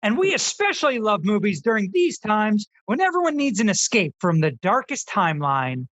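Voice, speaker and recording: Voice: medium pitch, very animated, normal speed, fairly bright tone, no echo, dull audio. An actor playing a sarcastic, know-it-all film critic in their twenties in a scripted comedy radio skit. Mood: snarky. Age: 20s. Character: snarky, earnest twenty-year-old Zoomer film critic.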